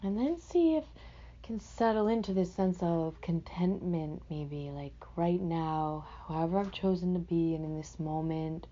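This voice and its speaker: Mellow, low-voiced woman guiding meditation. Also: highs cut off. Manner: gentle, unhurried phrasing with reflective pauses. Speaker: meditation teacher. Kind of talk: guided meditation, unscripted.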